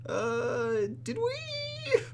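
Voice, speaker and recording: A man's voice: very high voice, wildly swooping pitch, drawn-out words, creaky, a little hiss or hum. A man reading visual novel text aloud for a let's play and reacting to it in a theatrical, exaggerated way. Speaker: YouTube gamer.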